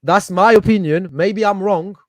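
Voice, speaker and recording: A high-voiced man with a French accent, raised in Paris, arguing his side in a casual podcast chat. He talks with passion and stumbles over repeated words as he hunts for the right phrase. The recording is close and dry, with no room sound. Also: normal speed, no echo. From Paris, France. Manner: passionate, repeats words while searching for them. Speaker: guest, football fan.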